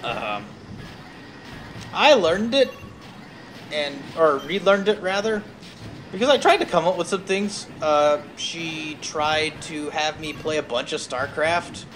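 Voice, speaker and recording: Guy with a slightly high voice, rambly stop-start game streamer chat, lively pitch, noisy home recording. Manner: halting, tangent-prone storytelling. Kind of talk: casual rambling chat over gameplay.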